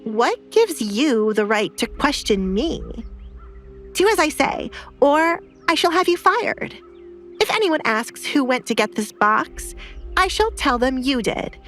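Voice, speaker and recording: A spoiled young noblewoman, acted by a woman narrator, speaks in an audio drama with music underneath. She is imperious and scornful, ordering others about with spite. Her pitch lifts above an everyday register. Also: big pitch swings, slow, fairly bright tone, full character voice.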